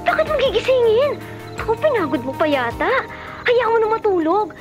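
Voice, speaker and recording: Very high-pitched, nagging young best friend. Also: female voice, big pitch swings, moderate pace. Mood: frantic scolding. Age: 20s. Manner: exclamatory, scolding, theatrical. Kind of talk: radio drama acting. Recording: music behind the voice. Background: music.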